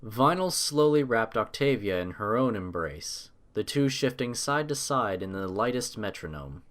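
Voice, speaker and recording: A man's voice: fairly low pitch, expressive, moderate pace, a bit bright. A man narrating a scripted story as an audiobook-style reader.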